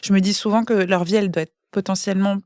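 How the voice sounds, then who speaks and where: A woman's voice: mid-range voice, fairly even intonation, fast, a little mellow, no room sound, clean studio sound. Reflective French woman podcast guest. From France. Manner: thoughtful, many fillers and restarts. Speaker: guest, author. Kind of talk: casual podcast conversation.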